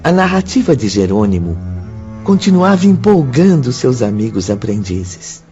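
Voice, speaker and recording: A man's voice: medium pitch, very animated, normal speed, warm tone. A man reading scripted narration as the narrator of a radio drama. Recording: muffled lo-fi audio under music. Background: string music.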